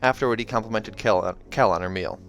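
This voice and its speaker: A man reading a fantasy novel aloud as an expressive amateur narrator, with casual asides. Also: fairly low pitch, fairly quick, faint music behind. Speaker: reader of a fantasy novel, reacting as they go.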